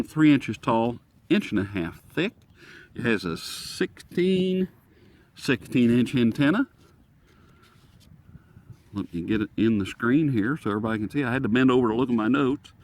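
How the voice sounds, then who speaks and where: A man giving a casual, rambling gadget review outdoors, folksy and self-deprecating, easily sidetracked. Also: medium pitch. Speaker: product reviewer presenting to camera. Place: outdoors on a breezy day. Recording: breezy outdoor recording with wind noise. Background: light wind noise.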